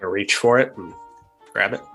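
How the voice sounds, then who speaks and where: Bright-voiced man playing a tabletop game. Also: on the low side, moderate pace, creaky, husky, faint music behind. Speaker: player in a tabletop roleplaying game. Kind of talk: casual, brief game talk.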